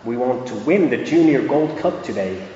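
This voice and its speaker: A man's voice: medium pitch, lively intonation, normal speed, mellow, slight background noise, lightly acted. A man voicing a practical, firm male farm owner in a storybook reading, in a dull, echoey hall recording.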